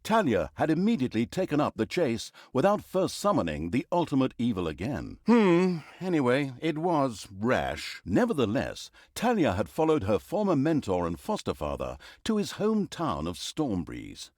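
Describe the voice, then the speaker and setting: An actor playing a sarcastic, pompous storyteller, narrating a fantasy video game with dry, mock-dramatic humor. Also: male voice, medium pitch, wildly swooping pitch, normal speed, few pauses, darkish tone, dry recording. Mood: wry, sardonic. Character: sarcastic, pompous male storyteller of evil deeds. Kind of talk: theatrical scripted fantasy narration.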